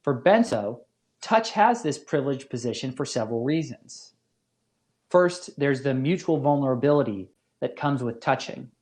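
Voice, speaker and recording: A man giving a scripted academic lecture as a philosophy professor, in a measured, formal manner. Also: mid-range voice, animated, a little mellow.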